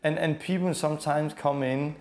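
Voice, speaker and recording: A man's voice: fairly high pitch, steady tone, moderate pace, crowd noise. Firm man speaking in short statements. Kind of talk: conversational discussion. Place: small room with sofas, indoors. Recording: roomy indoor sound.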